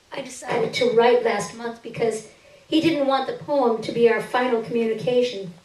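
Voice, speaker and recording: A woman's voice: on the high side, a little mellow. Woman reading literary fiction, distant and roomy. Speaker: reader of a short story on stage. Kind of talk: dramatic literary reading, first-person narration. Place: indoor stage, live reading. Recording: roomy stage recording, distant microphone.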